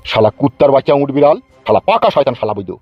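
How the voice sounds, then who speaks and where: A man playing rapid-fire bullying village politician. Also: mid-range voice, big pitch swings, no echo, dull audio, soft background music. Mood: angry, threatening. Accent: rural West Bengal Bengali. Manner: hectoring, threatening, boastful. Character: bullying, foul-mouthed male village politician. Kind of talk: comic cartoon dubbing.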